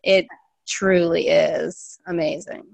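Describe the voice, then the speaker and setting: Woman new coach, quick animated storytelling, close dry mic. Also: lowish voice, vocal fry, dry recording. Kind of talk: casual storytelling.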